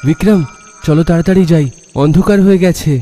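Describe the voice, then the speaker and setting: A man's voice: high voice, steady tone, quick pace, music in the background, close to an everyday voice. A man playing a wary, inquisitive young villager-in-hiding in cartoon dialogue, earnest and questioning.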